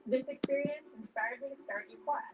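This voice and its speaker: A woman's voice: high-pitched, a little fast. A woman giving a scripted testimonial as a company founder in an advertisement. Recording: dull lo-fi audio.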